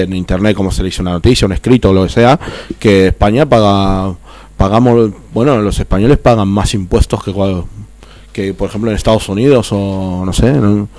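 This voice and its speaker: A man's voice: fairly low pitch, warm tone, no room sound. A man with an Argentine accent talking heatedly on a political radio chat, repeating and tripping over his words. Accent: Argentine Spanish.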